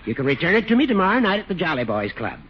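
An actor playing a fussy, pompous older judge who needles and wheedles, in an old radio comedy. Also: male voice, high voice, big pitch swings, racing, slightly rough, full character voice. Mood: brisk. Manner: pompous, flowery wording. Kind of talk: 1940s radio comedy acting. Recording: dull, slightly noisy old radio recording.

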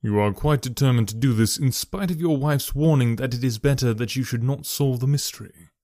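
A man voicing a composed Victorian detective who speaks in a measured, reasoned way. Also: medium pitch, warm tone, no echo, studio quality, natural voice. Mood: calm.

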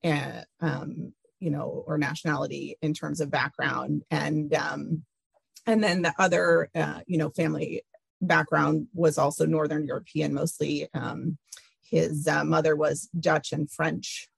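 A middle-aged woman being interviewed, talking hesitantly with many pauses and fillers. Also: low voice, little pitch movement, vocal fry, slightly rough, dry recording. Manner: hesitant, many ums and restarts. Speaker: interview guest. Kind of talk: casual interview, recalling family history.

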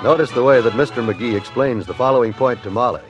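A man's voice: mid-range voice, fast talker. A man with an American accent announcing and narrating a scripted show in 1950s radio style. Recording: dull old radio broadcast recording. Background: music.

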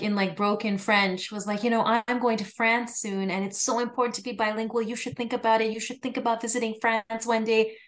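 A woman co-hosting a podcast who talks casually and thoughtfully, often dropping in "like". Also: fairly high pitch. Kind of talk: podcast conversation.

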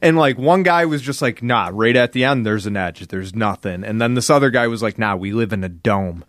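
Man, animated storyteller, acts out quotes, casual podcast guest, dry sound. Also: fairly low pitch, a little fast, no room sound.

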